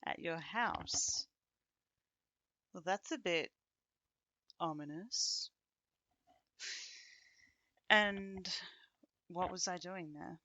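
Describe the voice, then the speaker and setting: A woman acting an affectionate, teasing companion in an intimate ASMR roleplay, speaking casually and conversationally with pauses. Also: fairly low pitch, pushed and bright, dry recording, muffled recording, exaggerated voice. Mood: skeptical, amused.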